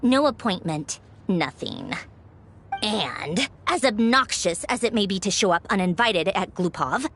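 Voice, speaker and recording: A woman's voice: fairly high pitch, fairly bright tone. An actress voicing a poised, sardonic female Fatui Harbinger in a video game, cool and composed, with faint music behind.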